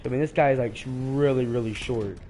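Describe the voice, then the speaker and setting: A man's voice: medium pitch, fast talker, mellow, a little hiss or hum. A teenage boy with a stuffy, sick voice, rambling through casual commentary while he plays a shooter, full of restarts. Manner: rambling, hesitant, with many restarts. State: sick, congested. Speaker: streamer.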